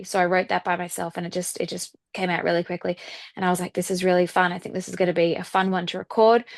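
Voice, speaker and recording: A woman with an Australian accent chatting casually as an interview guest, often softening points with filler phrases like "kind of". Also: mid-range voice, no echo, clean studio sound. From Sydney, Australia. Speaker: guest singer-songwriter.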